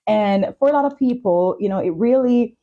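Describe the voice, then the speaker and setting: A woman's voice: on the high side, moderate pace, narrow, tinny audio. A woman hosting a talk show, presenting and putting questions to a guest. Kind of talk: hosted talk show, sponsor reads and interview questions.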